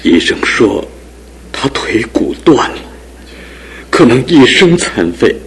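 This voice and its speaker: A slow, fatherly older man. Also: medium pitch, sing-song, fairly bright tone, slightly rough, exaggerated voice. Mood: concerned. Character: kindly older father, worried and torn. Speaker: fiancé's father. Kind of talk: studio radio drama acting.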